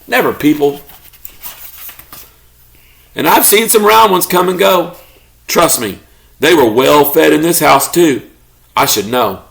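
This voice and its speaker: A man voicing a good-natured, grumbling pet beagle telling his own storybook tale in an expressive, mock-indignant way. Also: fairly high pitch, gravelly, full character voice.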